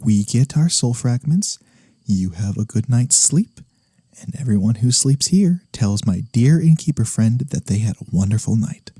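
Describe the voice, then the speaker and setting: Man acting a mellow, breathy, gentle incubus. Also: medium pitch, animated, moderate pace, no echo. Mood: gently teasing. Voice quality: soft, breathy. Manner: polite, hesitant, softly spoken, sometimes whispering. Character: gentle, courteous, wholesome male incubus demon. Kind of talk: soft-spoken ASMR fantasy roleplay.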